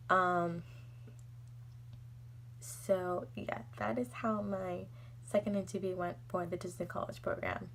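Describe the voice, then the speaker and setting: A woman's voice: lowish voice, slight background noise. A young woman telling a personal story in a casual, exasperated, rambling vlog style. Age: young adult. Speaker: vlogger.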